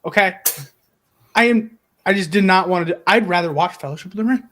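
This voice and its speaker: A man's voice: sits high, fairly quick, sharp tone. A man talks casually on a fan podcast. He is blunt and irreverent, swearing freely as he gives his opinions in an informal, conversational way. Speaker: podcast panelist. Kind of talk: casual chat.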